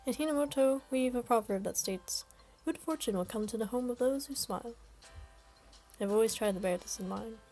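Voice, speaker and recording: A woman's voice: a little high, fairly quick, mellow, soft background music, close to an everyday voice, low-quality recording. A woman reading the part of a formal, polite young man who brings good fortune. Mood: earnest. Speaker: voice reader of a game story.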